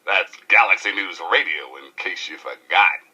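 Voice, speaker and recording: Brash, raspy wasteland radio DJ. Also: male voice, low voice, expressive, moderate pace, pushed and bright, dull audio, full character voice. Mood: exuberant, showy. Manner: showy, irreverent, preacher-like swagger. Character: brash, theatrical male post-apocalyptic radio DJ. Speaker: radio host and news announcer. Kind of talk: hip, slangy radio DJ patter.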